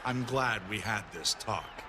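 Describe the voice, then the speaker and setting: A man's voice: lowish voice, unhurried, natural voice. A man playing a smug, condescending villain champion wrestler in a video game cutscene. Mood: smug, dismissive. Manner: condescending, self-assured. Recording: clear noise behind the voice.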